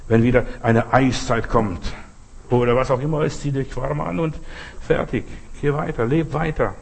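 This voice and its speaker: A man's voice: mid-range voice, normal speed, gravelly, husky. A man preaching freely in a folksy, exhorting manner, speaking straight to the listener in short, repeated appeals. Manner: exhorting, repetitive, folksy, often addressing the listener directly. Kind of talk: sermon, spoken freely with short imperatives and anecdotes.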